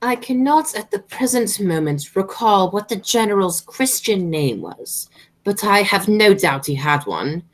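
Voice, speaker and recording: A haughty older society lady, acted by a woman, with pitch that rises and dips widely. She is imperious, dry and formal, as in an amateur radio play of a period comedy. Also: very animated, unhurried. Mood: stately, dry. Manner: pompous, formal.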